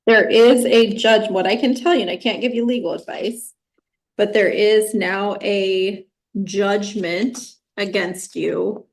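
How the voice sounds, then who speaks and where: A woman sits as judge and delivers her ruling in a measured, firm and formal way. Her voice is mid-pitched and even, the plain tone of a courtroom official. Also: low-quality recording.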